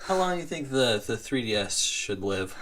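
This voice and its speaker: A man's voice: medium pitch, very animated, moderate pace, a bit bright. A man chatting informally and playfully as co-host of a fan show. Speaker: graphic designer. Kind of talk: casual chat, reading fan questions.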